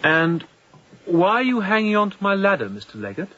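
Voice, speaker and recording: An actor playing a young, introspective and kindly sea captain in a radio drama, speaking in measured, formal phrases. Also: male voice, sits high, big pitch swings, pushed and bright, muffled recording, slight background noise, exaggerated voice. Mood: startled, wary. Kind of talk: radio drama acting with reflective monologue.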